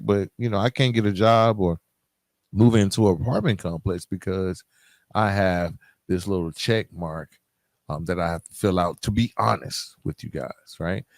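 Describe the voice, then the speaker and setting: A man's voice: fairly low pitch, vocal fry, a little mellow, no room sound. A middle-aged man hosting a podcast, talking informally and directly to his listeners in a conversational solo monologue. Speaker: podcast host.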